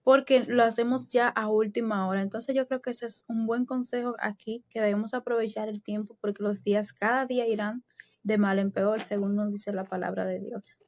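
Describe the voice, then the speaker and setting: Composed Dominican woman, measured tone. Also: medium pitch, steady tone, darkish tone, no echo. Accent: Dominican Spanish. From Dominican Republic. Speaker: podcast co-host.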